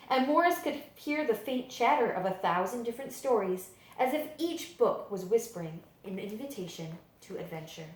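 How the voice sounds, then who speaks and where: A woman reading a picture book aloud to children as a librarian, in expressive, deliberate storytelling narration with drawn-out emphasis. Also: fairly high pitch, roomy sound, low-quality recording.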